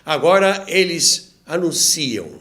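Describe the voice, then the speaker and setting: A Brazilian man hosts a history documentary in a higher-than-average voice. His delivery is slow and very lively in pitch, addressing the listener directly like a storyteller. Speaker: host and narrator of a radio history show.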